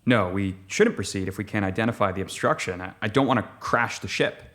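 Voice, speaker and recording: A man's voice: lowish voice, fairly quick, a bit bright, natural voice. A man playing a deferential, hesitant lone spaceship captain in a sci-fi audio comedy. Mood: uneasy. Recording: clearly noisy spacecraft ambience.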